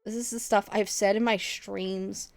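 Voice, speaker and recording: A woman's voice: mid-range voice, steady tone, a little slow, gravelly. A woman livestreamer chatting casually with her viewers, teasing them playfully and laughing often. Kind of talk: casual stream chat with viewers.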